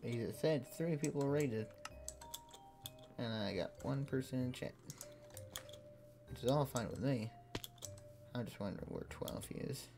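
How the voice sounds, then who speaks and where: A young man streaming a game from home, with a voice he says is lost, talking slowly to his chat. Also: mid-range voice. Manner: slow, mumbling, talks to chat between plays. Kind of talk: casual gaming livestream chat. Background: game music and keyboard clicks.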